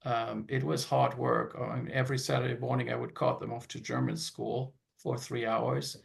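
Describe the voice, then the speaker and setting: A man's voice: medium pitch, flat delivery, moderate pace, creaky. A man talking as a panel guest, hesitant and full of fillers and restarts. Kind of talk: casual panel chat.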